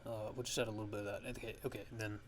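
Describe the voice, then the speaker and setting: A man giving a casual software tutorial, haltingly thinking aloud as he goes. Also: on the low side, little pitch movement. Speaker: music production tutorial host. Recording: clear background noise.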